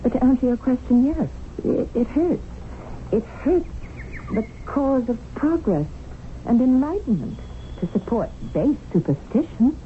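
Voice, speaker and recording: Naive argumentative English lady, very animated, prim, old radio drama, thin noisy sound. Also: high voice, unhurried. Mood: curious, skeptical. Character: headstrong, naive, talkative English lady on tiger hunt. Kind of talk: 1970s radio drama acting. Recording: narrow-band noisy old radio broadcast.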